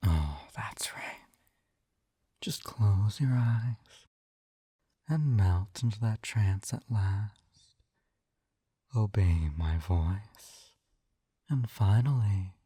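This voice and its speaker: A man's voice: fairly low pitch, darkish tone, husky, dry recording, studio quality, heavily acted. A man playing a possessive, doting hypnotist captor in an intimate ASMR roleplay, coaxing and soothing, often slipping into a whisper. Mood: soothing, hypnotic. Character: possessive, doting male yandere hypnotist captor, gently controlling.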